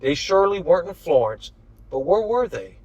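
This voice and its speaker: A man's voice: high voice, very animated, moderate pace, a little mellow, no room sound, dull audio. A man reading his own novel aloud as scripted audiobook narration.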